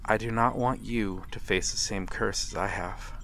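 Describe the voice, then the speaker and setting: A man playing a courteous, melancholy vampire in a dramatic ASMR roleplay, speaking formally and with measured pauses. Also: lowish voice, fairly even intonation, a bit bright, poor audio. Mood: gentle, reassuring. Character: courteous, melancholy male vampire, lonely and mysterious. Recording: clear background ambient noise. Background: night harbor ambience.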